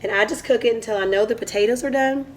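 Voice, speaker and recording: Woman host, voice hoarse from a cold, chatty step-by-step kitchen demo, quiet hum behind. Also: on the high side, fast talker. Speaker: channel host. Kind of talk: casual cooking demonstration. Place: home kitchen.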